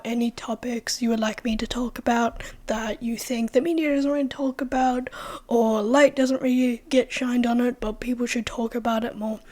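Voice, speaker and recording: A young woman vlogs in a loose, rambling way, sprinkling in fillers and the occasional curse. Her voice sits slightly high yet soft and mellow. It is worn and hoarse from a recent cold. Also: little pitch movement, normal speed. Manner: chatty, many "like" fillers, swears freely. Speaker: true-crime vlogger. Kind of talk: casual opinion vlog.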